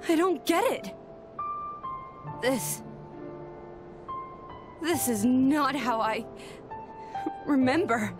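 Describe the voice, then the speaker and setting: A gentle young game heroine, distraught, with a high voice and very slow, halting delivery over music. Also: female voice, lively intonation, full character voice. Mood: bewildered, distraught. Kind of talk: dramatic video game voice acting. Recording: music under the voice.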